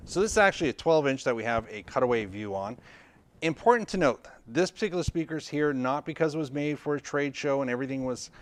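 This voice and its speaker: A man's voice: mid-range voice, lively intonation, fast talker. A man giving an informal, explanatory product demonstration in a conversational, instructional way, repeating points for emphasis. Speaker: product reviewer and presenter.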